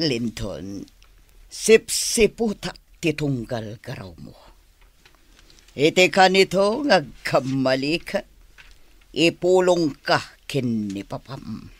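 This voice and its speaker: An actress playing a defiant, scheming mistress of a crime boss in a radio drama, with a taunting, theatrical manner. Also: female voice, very deep voice, husky, full character voice. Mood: menacing. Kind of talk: Ilocano radio drama acting.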